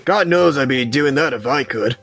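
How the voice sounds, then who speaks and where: A man's voice: mid-range voice, moderate pace, a crowd in the background, natural voice. A man voicing a kindly older dock worker in a visual novel, with folksy, encouraging talk in rustic dialect. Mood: earnest encouraging. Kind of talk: visual novel character voice reading.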